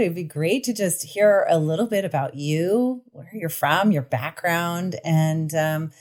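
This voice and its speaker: A woman co-hosting a podcast, asking a friendly, drawn-out interview question. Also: low voice, a little mellow. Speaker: podcast co-host.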